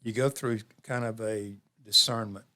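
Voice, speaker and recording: Low-voiced man in his seventies, measured. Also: steady tone, bright, forceful tone. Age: 70s. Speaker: interview guest, community program leader. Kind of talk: reflective interview conversation.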